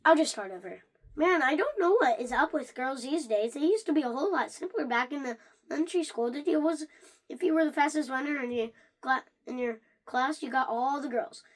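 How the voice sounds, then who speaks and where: Someone reading a children's book aloud in an animated way, stumbling over words and starting again. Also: sits high, a little fast. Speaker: reader of a read-along.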